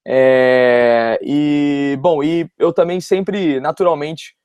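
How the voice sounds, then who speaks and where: A man's voice: a little high, steady tone, extremely slow, a little mellow, clean tone. Young guy w rio accent, casual rambly storytelling, dry sound no room echo. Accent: Rio de Janeiro Brazilian Portuguese. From West Zone of Rio de Janeiro, Brazil. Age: early 20s. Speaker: interview guest, university student. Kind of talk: casual personal storytelling in an interview.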